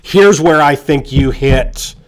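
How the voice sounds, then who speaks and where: Hoarse realtor with a cold. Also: male voice, a little high, slow pace. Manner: conversational, chatty and reassuring, with many fillers. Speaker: real estate agent. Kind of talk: casual advice talk to camera. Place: indoors with a colleague present.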